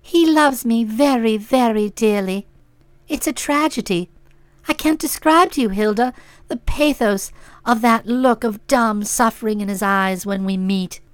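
Brief: woman reader doing a soupy, sentimental young miss, high and lilting, dry booth sound. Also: a little slow, dry recording, close to an everyday voice. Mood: worried. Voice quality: soupy, trickly voice.

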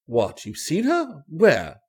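An old vaudeville man, acted out by a male storyteller, speaks low and huskily. He is blunt but sentimental, stretching his words with feeling, and swings widely in pitch. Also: wildly swooping pitch, takes it slowly, a little mellow, exaggerated voice. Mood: indignant, hurt. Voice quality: husky.

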